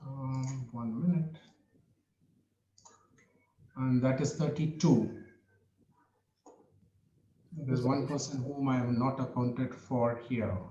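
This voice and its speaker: A man's voice: medium pitch, steady tone, takes it slowly, long pauses, dark tone. A man speaking informally as an official running an online club meeting, handling procedure. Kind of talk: informal meeting administration, roll call. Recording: roomy, muffled online call with noise.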